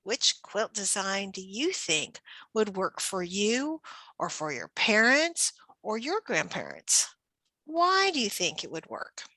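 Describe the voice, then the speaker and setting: A woman's voice: medium pitch, wildly swooping pitch, slow pace, bright, forceful tone, no room sound. A woman giving an instructional presentation for educators, often posing questions as if to young children. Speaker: teacher trainer presenting a workshop.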